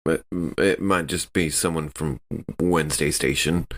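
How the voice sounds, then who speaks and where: A man's voice: a bass voice, steady tone, a little slow, a bit bright, full character voice. A man playing an anxious, hesitant spaceship crewman in a tabletop role-playing game. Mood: worried.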